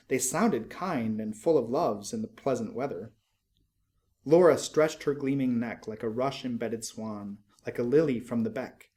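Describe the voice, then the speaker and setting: A man reading narrative verse aloud in a measured, expressive, literary storytelling manner. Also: medium pitch. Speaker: poetry reader.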